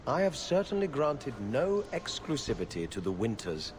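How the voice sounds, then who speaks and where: A man's voice: medium pitch, very animated, moderate pace, noisy background, natural voice. An actor playing a weary, haughty male court steward in a video game, speaking in a formal, clipped and disdainful way. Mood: formal, dismissive. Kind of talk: scripted video game dialogue.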